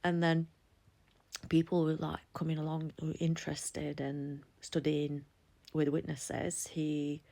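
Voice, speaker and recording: A woman's voice: low-pitched, flat delivery, unhurried, gravelly, warm tone. A woman in her forties from Latvia, talking candidly to camera in a chatty, personal vlog. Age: 40s. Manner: chatty, candid, laughs between thoughts. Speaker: vlogger. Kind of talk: casual personal commentary.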